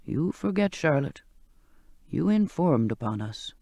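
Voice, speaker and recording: A frail old ship's cook speaks in a low, hushed murmur, as an audiobook reader puts him on. He is slow, wry and gentle. The voice is mellow and dark, with a close, dry sound. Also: big pitch swings, gravelly, dry recording. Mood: patient, soft. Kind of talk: audiobook character voice.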